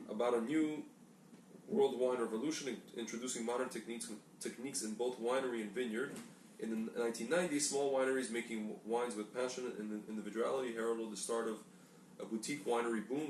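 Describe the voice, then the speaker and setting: Fast-talking man reading aloud in an echoey room. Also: mid-range voice, darkish tone. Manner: reads aloud with stumbles and self-corrections. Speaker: podcast co-host. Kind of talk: casual chat, reading aloud from documents. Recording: echoey room, distant mic, faint noise.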